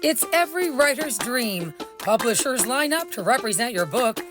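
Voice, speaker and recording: A woman reporter narrating a feature story in a scripted, measured delivery. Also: on the high side, expressive. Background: music.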